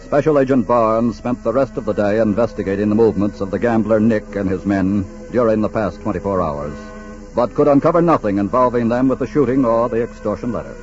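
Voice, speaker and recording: An actor narrating a 1940s radio crime drama as a sober storyteller, formal and measured. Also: male voice, fairly low pitch, a little mellow, natural voice. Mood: sober, dramatic. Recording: muffled 1940s radio recording, music underneath.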